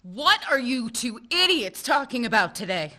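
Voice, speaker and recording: An actress playing a bitter, sharp-tongued office manager in a stage comedy. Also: female voice, on the high side, very animated, moderate pace. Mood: sarcastic. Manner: snappy, sarcastic.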